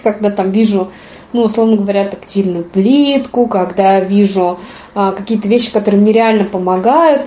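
Женщина-гостья с лёгкой хрипотцой после болезни непринуждённо и вдумчиво рассказывает о своём опыте, часто делая паузы. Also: female voice, a little high, darkish tone, slight background noise. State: slightly hoarse, recovering from illness. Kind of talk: casual personal storytelling. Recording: roomy, echoing sound.

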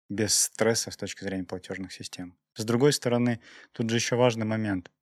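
A man talking conversationally on a podcast, explaining and reasoning aloud in a measured way. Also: fairly low pitch, darkish tone, dry recording. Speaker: podcast co-host, IT professional. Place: podcast recording studio. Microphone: close studio microphone.

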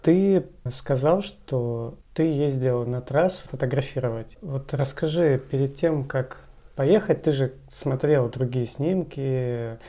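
A man with a soft, mellow tone and a medium pitch works as a podcast host. He speaks conversationally, introducing and questioning like an interviewer. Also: slow pace.

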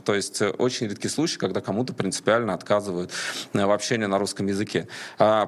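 A man from Latvia answering interview questions in a measured, reflective way. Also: lowish voice. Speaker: guest.

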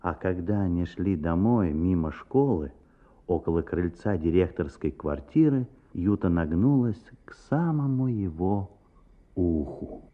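A man playing the gently amused narrator of a radio play for children. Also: deep voice, animated, unhurried. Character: storyteller narrating a schoolboy tale, gently amused. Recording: tinny old radio play recording.

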